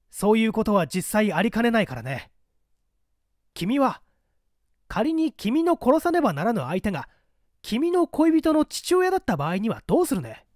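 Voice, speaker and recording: Male reader as probing secret party member, audiobook drama, earnest, pressing, raised pitch, animated. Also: dry recording, clean studio sound. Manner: earnest, pressing, rhetorical questioning. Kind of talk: audiobook character acting.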